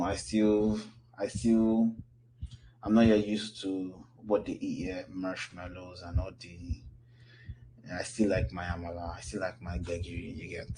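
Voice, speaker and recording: Low, mellow Nigerian man teaching. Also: a little hiss or hum, low-quality recording. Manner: loose, chatty, with lots of fillers and restarts. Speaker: class instructor. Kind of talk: online class Q&A.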